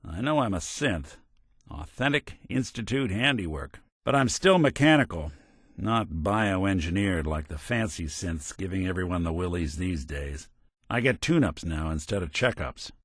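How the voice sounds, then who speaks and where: An actor playing a world-weary, principled robot detective in a video game, with an American accent and wry, plainspoken, hard-boiled old-time talk. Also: male voice, lowish voice, fairly bright tone, no room sound, clean studio sound, exaggerated voice. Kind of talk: video game character dialogue.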